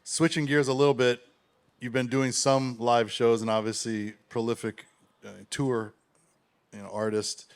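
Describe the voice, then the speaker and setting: A man's voice: mid-range voice, level tone, unhurried, clear, resonant tone. A man hosting an interview, enthusiastic and complimentary as he asks about the guest's music. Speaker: interviewer host. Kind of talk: interview questions.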